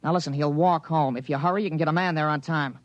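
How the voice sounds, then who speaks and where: A man's voice: on the high side, quick pace, no echo, close to an everyday voice. An actor playing a man urgently reporting to police in a 1950s radio drama, clipped, formal and authoritative. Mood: urgent, firm. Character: authoritative man phoning police, an adult citizen. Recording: muffled vintage radio broadcast recording.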